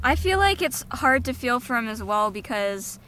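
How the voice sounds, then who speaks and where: A woman's voice: a little high, sing-song, moderate pace, sharp tone, a little hiss or hum. A woman co-hosting a podcast, giving blunt, sarcastic opinions in casual chat.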